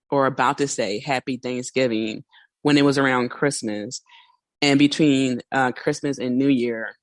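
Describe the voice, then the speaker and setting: A woman hosting a casual solo podcast, chatty and a little hoarse, laughing now and then and acting out small quoted asides. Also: very deep voice, dry recording. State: slightly hoarse. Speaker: podcast host.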